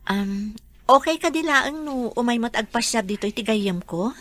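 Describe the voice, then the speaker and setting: A woman's voice: a little high, expressive, moderate pace, lightly acted. A woman playing a polite, deferential housemaid and devoted mother in a radio drama, respectful and a little hesitant. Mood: polite, friendly. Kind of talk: radio drama acting in dialogue.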